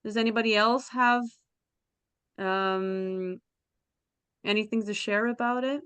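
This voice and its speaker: A woman's voice: medium pitch, steady tone, slow, fairly bright tone, clean tone, no echo. A woman from Belgian Flanders hosting a casual group discussion in a friendly, enthusiastic way. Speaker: host leading the discussion.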